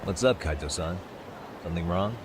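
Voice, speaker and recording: An actor playing a cool-headed, wry private detective in a game dub, measured and dry. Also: male voice, deep voice, background noise, natural voice. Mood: calm, earnest. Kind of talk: dubbed video game cutscene acting. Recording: music behind the voice.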